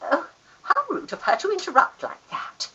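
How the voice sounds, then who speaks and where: A woman's voice: extremely high pitch, very animated, normal speed, sharp tone, dull audio, exaggerated voice. A woman acting a grand, gushing, easily affronted society aunt in a Victorian radio farce, theatrical and emphatic.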